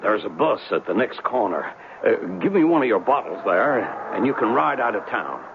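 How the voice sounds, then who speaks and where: An actor playing a gruff, plain-spoken small-town police sergeant in a 1970s radio drama, blunt and colloquial. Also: male voice, mid-range voice, big pitch swings, moderate pace. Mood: bossy, bargaining. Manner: blunt, colloquial, hesitant uhs. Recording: tinny, noisy 1970s radio recording.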